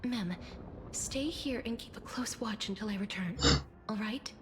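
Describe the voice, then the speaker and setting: A woman playing a gentle, solemn young woman who tends to death in a video game, speaking softly and often in a whisper. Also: fairly high pitch, a little slow, heavy background noise, close to an everyday voice. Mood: calm, grave. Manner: soft, breathy, often whispering. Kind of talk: dramatic video game voice acting. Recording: game music behind the voice.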